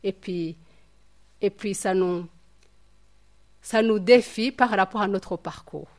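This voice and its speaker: A woman from Rwanda teaching from the Bible at a study group. Her speech is halting and reflective, full of fillers and repeated words as she searches for the next phrase. Her voice sits at a middle pitch.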